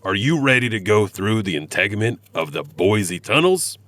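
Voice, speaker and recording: A man's voice: lowish voice, big pitch swings, normal speed, fairly bright tone, dry recording. A man playing a folksy, grumbling, joking janitor who hosts a horror anthology in a theatrical monologue. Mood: eager. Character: chatty grumbling janitor peeling potatoes, spooky story host.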